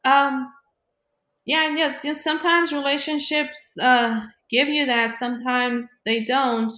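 Female discussion voice, measured and analytical, reflective delivery, noticeably roomy sound. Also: high voice, fairly even intonation, pushed and bright. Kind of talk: reflective explanatory conversation.

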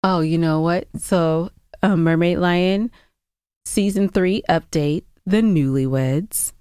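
A woman's voice: fairly low pitch, slow pace, darkish tone, clear, resonant tone, no room sound, studio quality. A woman co-hosting a podcast in casual chat, with a teasing, playful manner. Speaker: podcast co-host.

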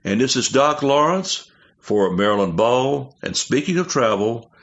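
A man's voice: medium pitch, lively intonation, a little slow, fairly bright tone. A man gives a folksy, showman-like travel and food report for a radio show. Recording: dull lo-fi sound.

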